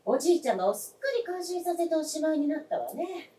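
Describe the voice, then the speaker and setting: An actress playing a polite, brisk, teasing younger woman in a staged radio drama. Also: sits high, animated, dark tone. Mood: polite, attentive. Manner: genteel, polite feminine speech. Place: theatre stage. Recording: echoey stage captured from far off.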